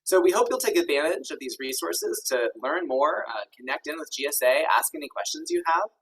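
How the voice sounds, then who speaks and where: A man's voice: on the high side, big pitch swings, fairly quick, fairly bright tone, slightly rough, distant mic, rough recording. A man in his thirties from Kentucky, an executive director hosting a virtual info session in an upbeat, friendly way with many ums and uhs. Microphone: video call.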